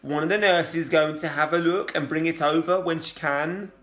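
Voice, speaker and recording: A man's voice: on the high side, fairly even intonation, moderate pace, fairly bright tone, natural voice. A man voicing a blunt, dismissive female hospital receptionist. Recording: roomy echo.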